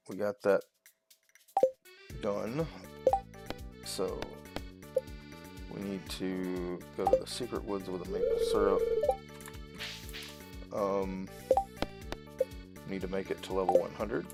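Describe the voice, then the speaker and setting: A woman with a very deep voice full of fry narrates her own gameplay. She talks casually and without hurry, thinking aloud as she goes, while game music plays prominently behind her. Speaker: gaming channel host. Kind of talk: casual let's-play commentary. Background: game music and sound effects.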